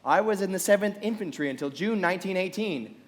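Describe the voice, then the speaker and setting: Young male society host, live radio play; courteous, assured, high voice in reverberant hall. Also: expressive, fast talker. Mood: genial courtesy. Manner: polite, genteel, assured. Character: courteous, genial young millionaire party host.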